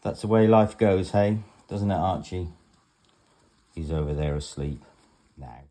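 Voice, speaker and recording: Very deep-voiced man, unhurried and chatty. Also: mellow. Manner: rambling, matter-of-fact, chatting aside to his dog. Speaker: vlogger demonstrating a kitchen task. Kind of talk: casual home demonstration chat. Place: indoors, home kitchen.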